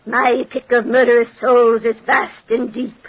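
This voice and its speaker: A woman's voice: fairly high pitch, flat delivery, a little slow, lightly acted. An actress playing a slyly gleeful, wicked old fortune-telling woman in an old-time radio horror drama, sardonic, taunting and theatrical. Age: older. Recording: muffled, noisy old radio recording.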